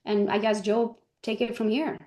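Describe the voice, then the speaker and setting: A middle-aged woman from Romania, speaking English in easy, upbeat interview talk. She jokes about herself and keeps tagging her sentences with a quick "right?". Her voice sits at an ordinary middle pitch. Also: level tone, mellow, rough recording. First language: Hungarian. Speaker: guest, real estate agent and coach.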